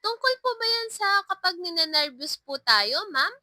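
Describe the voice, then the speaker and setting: Woman acting a high, eager schoolchild. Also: sing-song, normal speed, sharp tone, no room sound, clean studio sound, heavily acted. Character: eager young schoolchildren, curious and polite.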